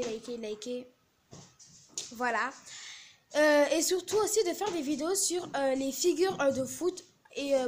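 A woman's voice: high-pitched, a little mellow. A young girl filming a home video on a phone, chatting casually in a hesitant way with many fillers, in a small living room. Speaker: camera operator. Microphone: phone camera held by speaker.

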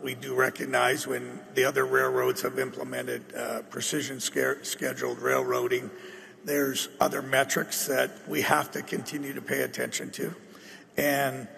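A middle-aged man with a North American accent giving a measured, halting, unscripted business explanation as an executive at a meeting. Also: mid-range voice, gravelly, a bit bright, a little hiss or hum. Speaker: company executive answering at an annual shareholder meeting. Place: large meeting hall stage. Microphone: table microphone. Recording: echoey hall through PA.

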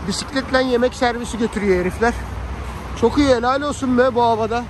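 A man's voice: very high-pitched, fast talker. A man chatting casually and slangily to viewers and family as he vlogs outdoors on a phone. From Turkey. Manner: colloquial, slangy, animated asides to family and viewers. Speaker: vlogger. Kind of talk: casual chatty vlog narration. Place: outdoors on a snowy city street. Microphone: handheld phone. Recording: noisy outdoor street with traffic.

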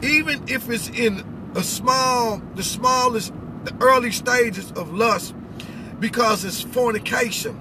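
A middle-aged American man giving an informal spiritual talk in an emphatic, instructive way, often checking in with questions. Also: sits high. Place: inside a vehicle cab. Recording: very noisy vehicle, road noise. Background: car and outdoor noise.

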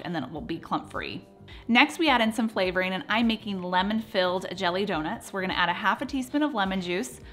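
A woman's voice: medium pitch, fairly bright tone. Upbeat woman narrating a home recipe. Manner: upbeat, playful asides. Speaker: cooking channel host. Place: home kitchen, indoors.

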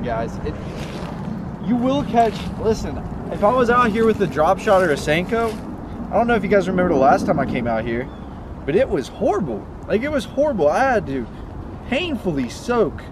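Young guy vlogging outdoors, noisy background. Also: high voice. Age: young adult. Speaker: fishing video host. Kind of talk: casual instructional fishing vlog. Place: outdoors on a pond bank. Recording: outdoor wind and ambient noise.

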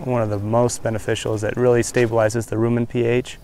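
A man's voice: medium pitch, level tone, quick pace, a little mellow, slight background noise. A man in his thirties from Wyoming, a rancher in a documentary interview, talking earnestly with many restarts and fillers. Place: indoor room.

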